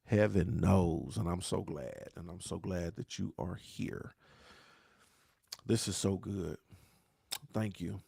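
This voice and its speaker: Gravelly, preachy pastor with dialect grammar. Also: male voice, sits low, takes it slowly, pauses often, mellow, no room sound, studio quality. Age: 40s. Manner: preachy, repeats rhymes and asks "right?" often; dialect grammar, says "y'all". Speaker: pastor hosting a grief talk show. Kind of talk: live-stream talk answering viewer questions.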